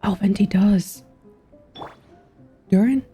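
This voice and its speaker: A woman's voice: mid-range voice, slow pace, husky. A woman giving casual playthrough commentary on a game, musing aloud and asking questions as she goes. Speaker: game streamer reacting to a story quest. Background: soft game music.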